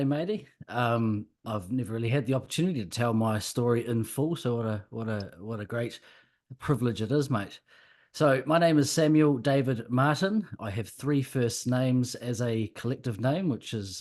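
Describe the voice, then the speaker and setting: A man's voice: medium pitch, moderate pace, a little mellow. A man in his forties with a New Zealand accent, a podcast guest telling his story in a friendly, easygoing way with plenty of fillers. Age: 40s. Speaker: guest, electrician and business owner. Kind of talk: casual podcast chat.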